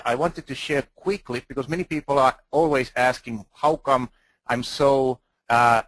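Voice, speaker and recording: Finnish man, conference speaker, earnest and halting, dry close sound, mid pitch. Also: a bit bright, no room sound, poor audio. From Finland. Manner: earnest, halting, with restarts and fillers. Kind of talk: presentation talk.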